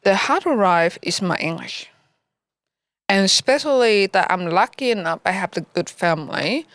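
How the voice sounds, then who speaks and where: A woman from Vietnam with a Vietnamese accent, speaking haltingly as a guest in an interview in a library. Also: medium pitch, expressive, fairly bright tone, no room sound, studio quality. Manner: halting, searching for words. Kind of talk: interview answers. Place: local library.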